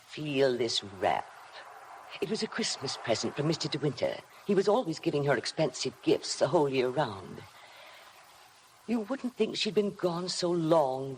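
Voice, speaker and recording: An actress playing a sinister, obsessive housekeeper with an insinuating, deliberate, servile manner in 1950s radio drama style. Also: female voice, low-pitched. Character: sinister, obsessive housekeeper, coldly devoted to dead mistress. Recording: noisy vintage 1950 radio broadcast.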